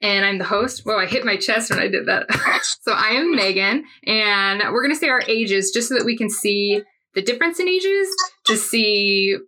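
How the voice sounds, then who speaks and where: A woman's voice: mid-range voice, moderate pace, bright, forceful tone, low-quality recording. A woman in her thirties hosting a casual podcast chat, talking over a video call and laughing often. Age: 30s. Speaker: podcast host.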